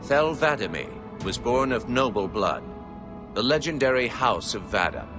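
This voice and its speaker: A man's voice: mid-range voice, big pitch swings, a little slow. An actor voicing a grave, anxious alien male scribe in a solemn, formal narration for a video game cutscene. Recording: game soundtrack with music underneath.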